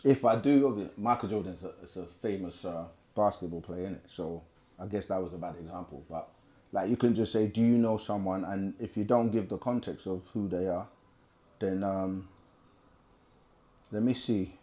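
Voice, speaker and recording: Man, low-ish voice, chatty livestream host reading chat and riffing on answers. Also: moderate pace, dark tone. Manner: conversational, repeats himself, reads chat aloud. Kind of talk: casual live Q&A teaching.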